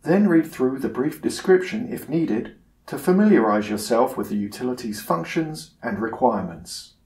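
A man giving a scripted software tutorial narration in a measured, instructional manner. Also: medium pitch, expressive, reverberant.

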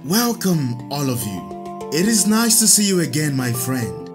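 An actor playing a gracious, gentle African priest in an audio drama, with formal, courteous phrasing. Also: male voice, on the high side, big pitch swings, unhurried, music in the background. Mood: welcoming.

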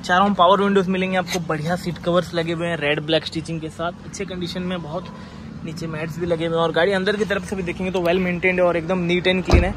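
A man, a used car dealer filming a walkaround of a car for sale and briskly listing its features and price. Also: high voice, flat delivery. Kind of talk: car walkaround and sales pitch. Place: outdoor car lot, then inside a car on the road. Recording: outdoor noise and traffic.

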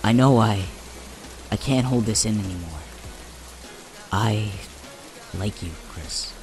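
An actor playing a shaken, lovestruck young college man in an audio drama, halting and earnest. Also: lowish voice, darkish tone. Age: young adult. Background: rain and music.

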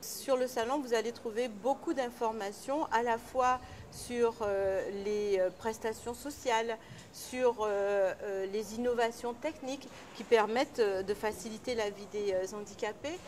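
Middle-aged lady official, bit high voiced, formal but lots of euhs, faint hall noise. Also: unhurried, a little mellow. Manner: official, hesitant with fillers. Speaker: local council official. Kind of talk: on-camera interview. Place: indoor exhibition hall.

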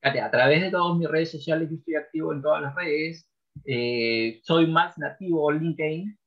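A man's voice: on the high side, normal speed, highs cut off. A man in his forties, a sales trainer guest on a podcast interview, speaking from a home office over a video call, emphatic and repeating key phrases.

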